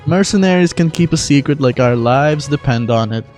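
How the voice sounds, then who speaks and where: Amateur acting frank female mercenary, game character, assured, relaxed, mid-pitched, music behind. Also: moderate pace, natural voice.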